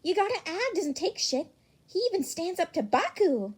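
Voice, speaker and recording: A woman voicing a bubbly, teasing, excitable teenage student in an audiobook, playful and gushing. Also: very high-pitched, moderate pace, voice pushed up.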